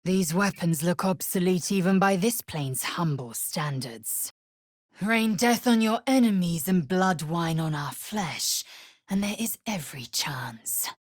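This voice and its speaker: A woman voicing a fierce, proud alien warrior in a video game, speaking with a husky rasp in a haughty, clipped, commanding and formal manner. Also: lowish voice, expressive, a little slow, dry recording, clean studio sound. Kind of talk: video game character voice acting.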